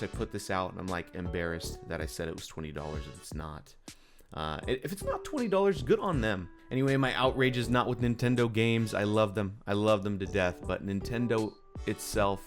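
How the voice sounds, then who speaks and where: A man giving a casual, unscripted gaming news commentary in an exasperated, incredulous and slangy way, leaning hard on his points. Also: low voice. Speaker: gaming video creator.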